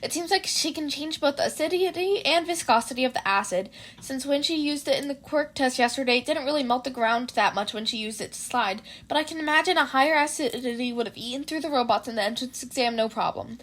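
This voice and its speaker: Woman voicing teenage boy, shy, nerdy, high voice, flustered, apologetic, fan fiction audio story. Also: fast, hardly any pauses, fairly bright tone. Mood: earnest, analytical. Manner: apologetic, rambling, analytical.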